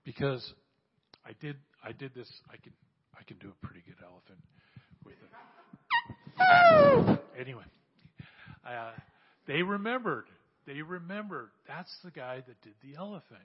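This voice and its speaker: Man, 60s, husky church speaker, urgent exhortations, lo-fi muffled recording. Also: medium pitch, normal speed, pauses often. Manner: animated, exhorting, repeats phrases. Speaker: church speaker sharing mission testimony. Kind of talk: sermon testimony.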